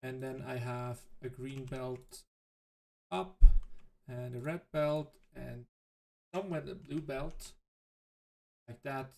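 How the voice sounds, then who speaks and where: A man whose native language is German, thinking aloud in halting, self-correcting commentary as he plays a game. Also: medium pitch, level tone, gravelly, a little mellow. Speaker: let's play host. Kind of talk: casual gameplay commentary.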